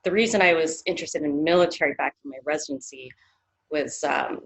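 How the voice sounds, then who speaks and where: Low-voiced woman psychologist, thoughtful. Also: brisk, gravelly, low-quality recording. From Canada. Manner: thoughtful, many fillers and restarts. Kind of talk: interview guest, explaining.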